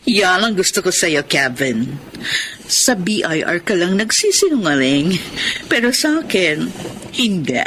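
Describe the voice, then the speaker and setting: A woman's voice: on the low side, big pitch swings, takes it slowly, a bit bright, slight background noise, full character voice. An actress playing a flirtatious, generous businesswoman in a radio drama, coy and teasing, slipping into English. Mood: seductive, teasing. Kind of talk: Filipino radio drama acting.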